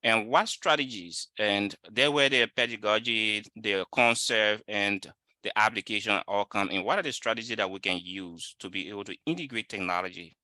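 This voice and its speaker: A man's voice: fairly low pitch, normal speed, bright, forceful tone, no room sound. A man from Liberia with a Liberian accent, giving an informal webinar lecture in an explanatory, emphatic way. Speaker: webinar speaker, student researcher.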